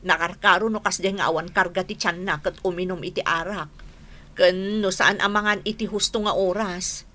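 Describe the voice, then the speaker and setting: A woman's voice: fairly low pitch, fairly even intonation, moderate pace, sharp tone. An actress playing a long-suffering, scolding wife and mother in a radio comedy drama, with an emphatic manner and a Filipino Ilocano accent. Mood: worried.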